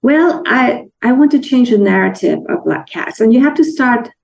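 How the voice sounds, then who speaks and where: Woman from denmark, gravelly voice, chatty guest telling a story, stops to hunt for words now and then. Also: fairly high pitch, lively intonation, normal speed. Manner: warm storytelling with restarts and searching for words. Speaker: guest author. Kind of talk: casual interview chat.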